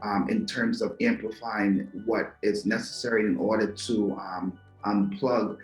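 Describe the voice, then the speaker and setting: A man's voice: on the low side, fairly even intonation, measured pace, reverberant, soft background music, rough recording. A man from Trinidad and Tobago hosts a talk show, musing to viewers with rhetorical questions and repeated words. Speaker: podcast host. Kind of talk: philosophical monologue to viewers.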